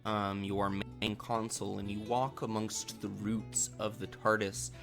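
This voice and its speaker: A man's voice: fairly low pitch, flat delivery, unhurried, gravelly, music in the background. A man running a tabletop role-playing game, improvising scene descriptions in a casual, hesitant, explanatory way. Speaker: game master.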